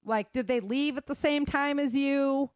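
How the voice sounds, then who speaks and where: A woman's voice: high voice, unhurried, no echo. Frank woman giving casual advice, close dry sound. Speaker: self-defense instructor and guest.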